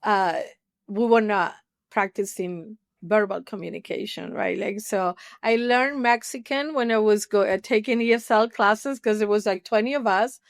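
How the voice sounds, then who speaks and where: A woman's voice: mid-range voice, normal speed, no echo, clean studio sound. A middle-aged woman from Argentina with an Argentine Spanish accent, a therapist telling her story candidly as a podcast guest. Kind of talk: casual interview storytelling.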